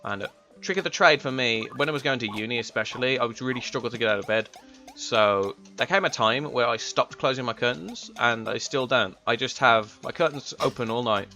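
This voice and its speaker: A young man with a slightly raspy voice, chatting in a rambling, laid-back way while streaming a game. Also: medium pitch, a little fast, pushed and bright. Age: young adult. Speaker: gaming streamer. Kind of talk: casual chat while playing. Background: game music.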